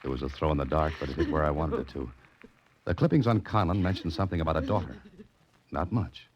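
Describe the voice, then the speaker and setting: A man's voice: a bass voice, fast, crowd noise. An American man playing a tough, wry adventurer-sleuth in a 1940s radio suspense drama, with a clipped, insistent delivery. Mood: hard, probing. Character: tough, wry male adventurer-sleuth, narrating and pressing for answers. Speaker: lead actor and narrator. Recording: noisy, muffled vintage 1940s radio recording.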